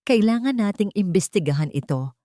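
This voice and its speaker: A woman voicing a sharp, principled male lawyer who speaks in a serious, probing and determined way. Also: medium pitch, animated, a little fast, dry recording, studio quality, voice pushed up, lightly acted.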